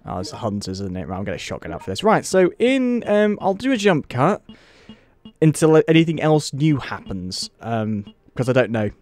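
A man's voice: medium pitch, sing-song, normal speed, a little mellow, faint music behind. A man with a British accent giving casual, wry commentary while playing a game and laughing at it. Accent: British English. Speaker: let's play host.

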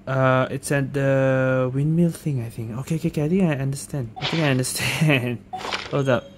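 A woman's voice: extremely low pitch, normal speed, noisy background. A woman doing casual gameplay commentary, thinking aloud and repeating herself. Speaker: gaming streamer. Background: game music.

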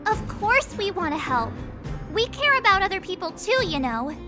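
A perky young girl adventurer talks in an earnest, bubbly game-character style. The voice is extremely high and very animated, over clear background music. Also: normal speed. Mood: indignant, earnest. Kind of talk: scripted game dialogue.

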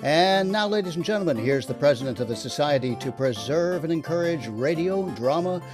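A man's voice: fairly high pitch, animated, a little fast. A man announcing a show in a scripted old-time radio introduction style. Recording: music under the voice. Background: music.

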